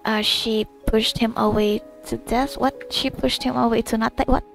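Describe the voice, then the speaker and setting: A young woman reacting casually to a video game, with murmured asides and expressive exclamations. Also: a little high, normal speed, creaky. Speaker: gaming video creator. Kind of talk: casual spoken reactions to gameplay. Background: faint game music.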